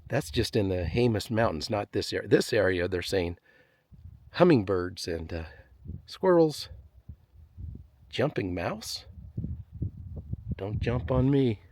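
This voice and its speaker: This is a man talking slowly as he hikes outdoors, his pitch rising and falling a lot. He chats to himself with easy jokes and sighs from the effort. A faint hiss sits under his voice. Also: animated, a little mellow. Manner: chatty, self-deprecating, talks to himself. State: tired, sighing from the climb. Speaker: vlogger hiking a trail. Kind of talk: casual narration while hiking. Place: outdoors on a desert canyon trail.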